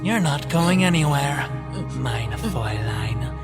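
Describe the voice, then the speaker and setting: A woman's voice: a bass voice, lively intonation, slow, over music, exaggerated voice. A woman playing a smug, taunting teenage boy in an audio drama. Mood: smug menace. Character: short pretty teenage German vampire boy, smugly menacing.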